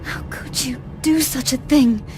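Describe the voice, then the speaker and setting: An actress playing a noble, righteous princess in a game cutscene, earnest, formal and dramatic. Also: female voice, sits high, a little slow, over music. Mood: shocked. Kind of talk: video game cutscene acting. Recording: background noise and ambience.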